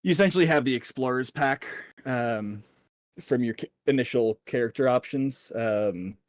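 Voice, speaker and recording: A man running a tabletop game as game master, narrating and explaining rules in a casual, halting way. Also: medium pitch, no room sound.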